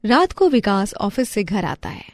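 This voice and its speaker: A woman's voice: medium pitch, sing-song, a little slow, dry recording. Woman narrating a story, very dry sound.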